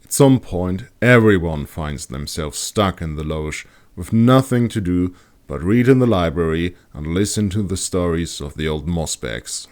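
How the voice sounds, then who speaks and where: A man's voice: sits low, animated, unhurried, a little mellow. A man playing a well-read, plain-spoken male ranger in a fantasy audiobook, explaining matter-of-factly. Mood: modest, wry.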